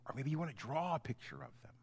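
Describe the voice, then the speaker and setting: A middle-aged man, a pastor, giving an informal, halting children's message in simple, conversational words. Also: a little high, a little mellow, slightly rough. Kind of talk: gentle children's sermon, informal storytelling.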